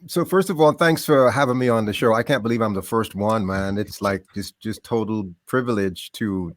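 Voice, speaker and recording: A middle-aged man from the Bahamas, a podcast guest telling stories about growing up in a lively, casual way. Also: on the low side, no echo, studio quality. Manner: animated, laughs often, trails off into quieter asides. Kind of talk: casual storytelling.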